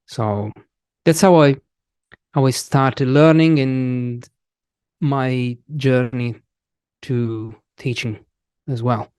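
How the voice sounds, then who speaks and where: An Italian man in his thirties speaks English with a clear Italian accent. He talks slowly in a casual interview, pausing often and restarting his sentences. The recording is dry, with no room sound. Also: mid-range voice, darkish tone, no echo. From Italy. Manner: slow, halting, many restarts and fillers. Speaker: guest, English teacher.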